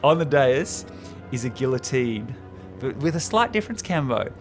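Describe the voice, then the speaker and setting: A man's voice: medium pitch, very animated, normal speed, over music. A man co-hosting a show, retelling a film script in an informal, enthusiastic, chatty way. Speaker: podcast co-host.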